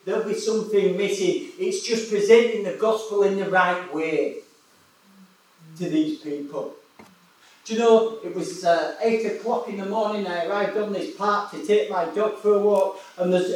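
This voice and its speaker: A man's voice: high voice, a little mellow. A man from West Yorkshire with a Yorkshire accent, an evangelist preaching a sermon in a chatty storytelling way, often restarting his words. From West Yorkshire, England. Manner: conversational, storytelling, many restarts and repetitions. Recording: echoey hall, voice from far off.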